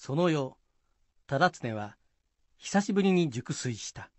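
A man's voice: a little high, big pitch swings, slow pace, darkish tone, no room sound. A man giving scripted storytelling narration of a historical tale.

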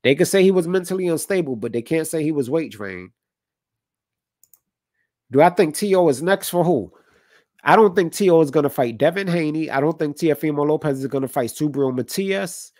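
A man's voice: fairly high pitch, lively intonation, moderate pace, darkish tone, no room sound. Stuffy guy hosting a boxing stream, reads out the chat, dry close mic. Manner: conversational, opinionated, reads chat comments aloud. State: stuffy from a cold. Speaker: boxing livestream host. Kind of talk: casual talk with live chat. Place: home room with colored lights.

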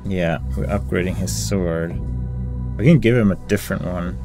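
Male gamer, lowish voice, casual think-aloud commentary over clear background music. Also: moderate pace. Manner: mutters through options, reading game text aloud. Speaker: gamer hosting a playthrough. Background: game music.

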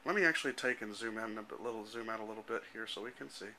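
A man in his thirties giving an informal, matter-of-fact demonstration as a software developer, explaining things step by step into a handheld camera. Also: fairly low pitch, rapid-fire, slight background noise. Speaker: software developer presenting a demo. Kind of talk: informal product demonstration narration. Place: indoor room at home. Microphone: handheld camera microphone.

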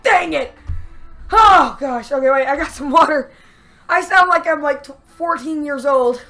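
A young woman giving excited gameplay commentary with a strained, worn voice, yelping and laughing at the action. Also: high-pitched, a little slow. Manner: yelps and shrieks at the action, laughs a lot. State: strained, losing her voice. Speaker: let's play gamer. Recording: faint game music behind.

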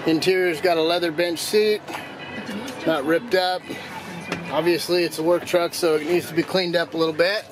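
A man's voice: a little high, fairly even intonation, moderate pace, music in the background. An older man giving a plainspoken, easygoing walkaround of a vehicle for sale as an auctioneer, filmed outdoors and in the cab on a handheld phone. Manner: easygoing, plainspoken, with fillers and restarts. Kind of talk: casual walkaround product talk. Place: outdoors in a gravel lot and inside a pickup cab. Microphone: handheld phone camera. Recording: noisy outdoor phone recording.

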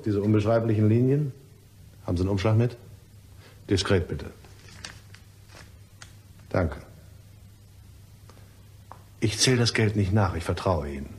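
An actor playing a smug, mock-polite blackmailer in a crime radio play, with a suave and taunting manner. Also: male voice, lowish voice, a little mellow, breathy edge. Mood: silky, menacing. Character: smug male blackmailer, mockingly courteous and menacing.

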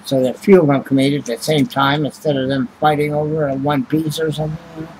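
A man's voice: on the high side, level tone, quick pace, darkish tone, slight background noise, poor audio. A man, rambling and swearing casually as he reads prices off a receipt into a webcam at home. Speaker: vlogger. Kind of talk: casual chat. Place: small home room indoors.

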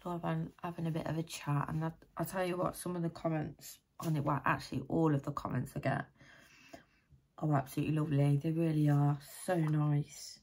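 A tired British woman talks in a casual, rambling way, as if chatting to her viewers from a room at home. Her voice sits on the low side, with a gravelly creak and a husky, croaky edge. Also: level tone, brisk, mellow. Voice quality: deep, croaky. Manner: rambling, chatty, thinking aloud. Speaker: vlogger. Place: small room at home, indoors.

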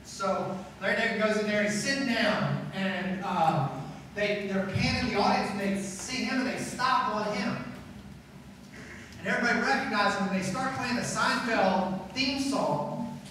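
A man's voice: sits high, measured pace, bright, forceful tone, slight background noise. A middle-aged man preaching a chapel sermon to students on a school auditorium stage, talking conversationally and repeating phrases for emphasis. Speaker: pastor. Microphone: far camera in hall. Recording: echoey hall from far off.

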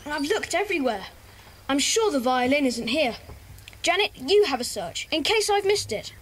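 A woman's voice: sits high, very animated, normal speed, a little hiss or hum. A woman playing an earnest, bossy young schoolboy gang leader in a children's audio drama. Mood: purposeful.

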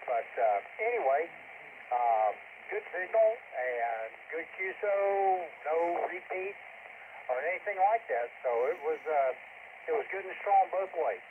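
Over a narrow, phone-like radio link with clear noise, a man chats casually. His pitch moves around in an animated way as he talks like a seasoned ham operator. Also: a little slow. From United States. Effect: two-way radio transmission.

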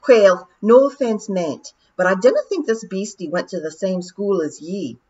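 A woman voicing an excitable, simple-minded male pixie with a Scottish accent, eager and blurting. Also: medium pitch, normal speed, pitched above the performer's own voice, natural voice. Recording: dull lo-fi audiobook recording.

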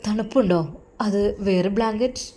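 A woman doing a soft-spoken gentle husband. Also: moderate pace, natural voice. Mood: gentle coaxing. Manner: soft, low, coaxing.

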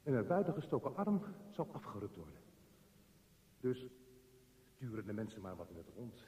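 Brooding lone traveller, halting radio-play monologue, animated pitch, reverberant echo. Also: male voice. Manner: halting, musing, fragmentary sentences. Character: brooding lone male train traveller, observant and uneasy. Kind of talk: radio drama interior monologue.

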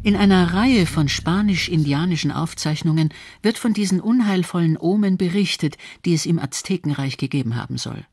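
A woman's voice: fairly low pitch, animated, fairly quick, few pauses, a little mellow, slightly rough, dry recording. A woman reading scripted documentary narration.

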